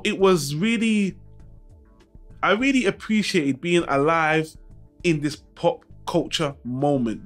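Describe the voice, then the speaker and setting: A man hosting a casual film review vlog, talking informally and frankly to his viewers with some mild swearing. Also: a little high. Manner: informal, mildly profane, frank. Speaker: YouTube channel host.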